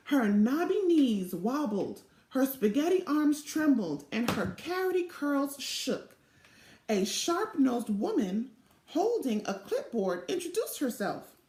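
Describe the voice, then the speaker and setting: A woman narrates a children's storybook in an expressive, deliberate way. Her voice is on the high side and she takes her time over the phrases. It sounds like a device mic in an echoey little office. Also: slight background noise. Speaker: storybook reader. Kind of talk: children's read-aloud narration. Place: small indoor office.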